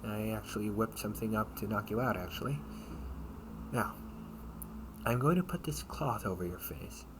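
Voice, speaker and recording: A man playing a protective, sardonic older brother in an amateur audio roleplay, speaking in slangy, halting phrases with casual menace. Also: on the low side, expressive, close to an everyday voice. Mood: casually menacing. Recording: noisy amateur home recording.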